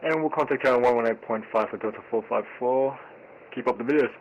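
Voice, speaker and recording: A man makes pilot readbacks in a medium-pitched voice. The radio sound is somewhat dull, with faint noise behind him. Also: fast. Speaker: airline pilot in a flight simulation.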